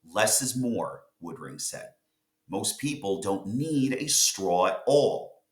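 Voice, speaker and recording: A man's voice: lowish voice, big pitch swings, slow pace. A man reading a news article aloud from a script. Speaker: reader of an article. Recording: echoey room.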